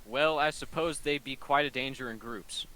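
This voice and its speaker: A man's voice: medium pitch, moderate pace, sharp tone, natural voice, rough recording. A man playing a polite radio interviewer in a 1920s-style show. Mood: polite, curious.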